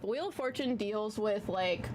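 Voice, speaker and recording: Woman, tarot reader on a live stream, chatty and slangy, small soft voice, noisy basement recording. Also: medium pitch, lively intonation, moderate pace. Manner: chatty, slangy, casual swearing. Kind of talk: casual live-stream chat. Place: home basement.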